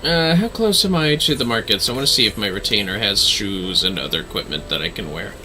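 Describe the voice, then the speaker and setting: A man's voice: lowish voice, lively intonation, fairly quick, over music, low-quality recording. A man casually chatting as he streams a fantasy online game, musing playfully to himself. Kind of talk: casual gameplay livestream chat.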